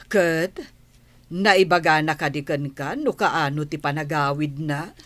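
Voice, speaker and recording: A woman's voice: low voice, measured pace, a bit bright, no echo. A woman with an Ilocano accent playing a kindly, older village auntie in a radio drama. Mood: kindly, interested.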